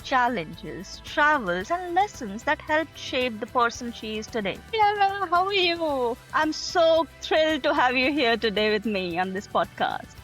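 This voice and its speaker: A woman with a fairly high voice hosts a podcast, speaking in an earnest, measured way. Faint music plays behind her. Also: very animated, a bit bright. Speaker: podcast host. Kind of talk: podcast interview.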